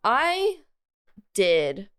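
A woman's voice: medium pitch, wildly swooping pitch, drawn-out words, a bit bright, clean tone. A young woman co-hosting a podcast, chatting and telling stories in an informal, self-mocking way full of "like". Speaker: podcast co-host. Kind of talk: casual chat and storytelling.

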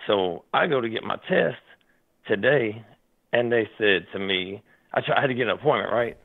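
A raspy, hoarse man talks over a tinny phone line. His voice is gravelly and his talk rambles, full of fillers and restarts. He sounds worked up and animated as a caller to a talk show. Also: lowish voice, normal speed. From Tennessee. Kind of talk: casual call-in chat.

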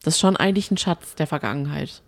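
Woman on a podcast just chatting, lowish voice, teasing, no room echo at all. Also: fast talker, clean studio sound. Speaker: podcast co-host. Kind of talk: casual podcast chat.

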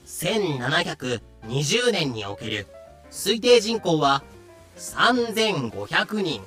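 A man's voice: a little high, big pitch swings, extremely slow, pushed and bright, faint music behind. A man giving scripted, dramatic narration for an audio drama.

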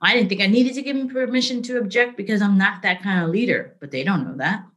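A middle-aged woman speaking as an interview guest, telling candid work stories in a lively, conversational way, often asking "right?". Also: mid-range voice, fast talker. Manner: animated, frequent "right?" and "like", laughs easily. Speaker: interview guest, business leader.

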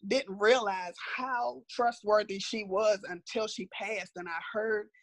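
A middle-aged woman with a raspy voice and a sore throat, talking reflectively with her daughter in a casual family conversation. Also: mid-range voice, fairly even intonation, measured pace, no echo. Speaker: mother being interviewed by her daughter.

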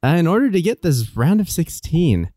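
A man's voice: fairly high pitch, sing-song, moderate pace, warm tone, dry recording, clean studio sound. A man hosting a casual podcast from a guest room at home, chatty and upbeat, teasing his guests. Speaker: podcast host.

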